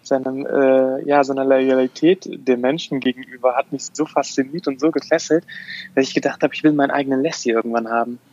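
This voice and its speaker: A man's voice: medium pitch, fairly even intonation, a little fast, a little mellow, dull audio. A man in his thirties, a dog behavior therapist, answering interview questions with many restarts and fillers. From Afghanistan.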